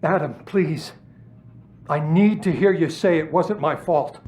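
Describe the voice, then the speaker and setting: An actor playing a fragile, guilt-ridden man in a video game, speaking in a halting, pleading manner. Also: fairly high pitch, darkish tone, slight background noise, lightly acted. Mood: anguished, fragile. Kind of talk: video game cutscene acting.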